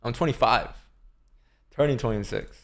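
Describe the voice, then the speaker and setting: A man's voice: medium pitch, normal speed, gravelly. A man in his twenties, chatting casually on a livestream and answering chat questions, laid-back and swearing now and then. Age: 20s. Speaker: livestream day trader. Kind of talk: casual live-stream chat.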